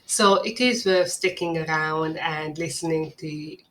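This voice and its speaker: Slow, low-voiced woman with Hungarian accent. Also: fairly bright tone. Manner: earnest, measured, salesy. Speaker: marketing agency owner presenting a webinar. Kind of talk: scripted webinar presentation.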